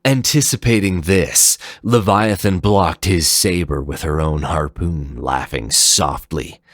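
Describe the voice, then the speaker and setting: A man with a very low voice narrates an action story dramatically. He moves at an unhurried pace, and the recording is dry and close. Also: fairly bright tone, no echo. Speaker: narrator of fan fiction.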